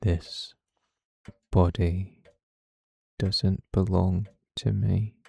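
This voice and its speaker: Man acting a slow, gravelly, brooding alien time traveller. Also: deep voice, level tone, mellow, dry recording, full character voice. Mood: accusing, troubled. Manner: slow, halting, deliberate, portentous. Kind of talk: dramatic fan audio monologue.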